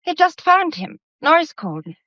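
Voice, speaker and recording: A woman's voice: very high-pitched, sing-song, normal speed, sharp tone, dry recording, heavily acted. An actress playing a plucky, anxious young woman, earnest and hesitant, in a radio mystery drama. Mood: urgent. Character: plucky, anxious young upper-class lady keeping her head. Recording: dull, muffled lo-fi audio.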